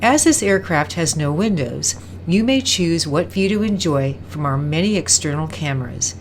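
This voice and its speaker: A woman's voice: sits low, expressive, moderate pace, a little hiss or hum. A woman playing a courteous automated airline announcer in a radio drama, polished and formal.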